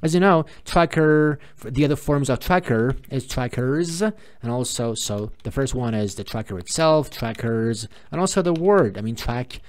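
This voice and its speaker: A man presenting an unscripted software tutorial, explaining step by step, often repeating and correcting himself. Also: mid-range voice, animated, normal speed.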